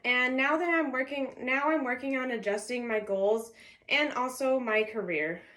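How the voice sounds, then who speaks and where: Brief: woman in her early twenties, college student, higher-pitched, reads a prepared speech point by point, first language Arabic. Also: moderate pace, a bit bright. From California, US. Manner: structured, reading from notes with signposted points. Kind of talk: reading a prepared class introduction speech.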